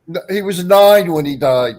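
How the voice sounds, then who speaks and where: A man with a high-pitched voice chats casually on a livestream. He rambles, repeats himself and talks bluntly, swearing as he goes. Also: lively intonation, moderate pace, darkish tone, a little hiss or hum. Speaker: livestream show guest.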